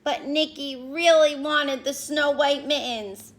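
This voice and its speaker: Woman, high voice, unhurried pace, children's read-aloud, teacherly, expressive delivery. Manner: expressive, teacherly, explains words and asks questions. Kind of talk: animated picture-book read-aloud with asides to children.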